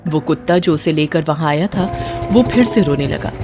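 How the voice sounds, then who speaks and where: A woman's voice: deep voice, expressive, normal speed, mellow, faint music behind. A woman narrating a scripted horror story in a dramatic storytelling style.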